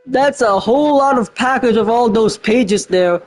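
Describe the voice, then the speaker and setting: A man's voice: very high voice, unhurried. A man giving casual, slangy, reactive commentary as a game streamer.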